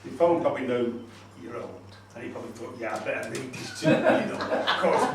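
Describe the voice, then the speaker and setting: A man's voice: medium pitch, lively intonation, fast talker, heavy background noise. A man in casual, joking banter, teasing another club member. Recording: echoey room, distant mic, loud group chatter.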